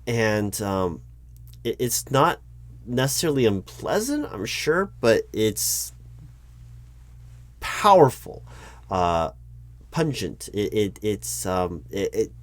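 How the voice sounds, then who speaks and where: A man's voice: lowish voice, very animated. A man playing a recruiting manager in a one-sided job interview roleplay, polite and awkward, stumbling through ums and restarts. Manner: hesitant, halting, full of ums and restarts; polite, awkward, stiffly corporate.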